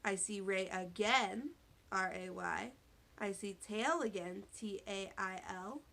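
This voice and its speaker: A woman teacher giving a read-aloud phonics lesson to young children, speaking deliberately, spelling out words and asking questions. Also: medium pitch, creaky, fairly bright tone. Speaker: elementary school teacher.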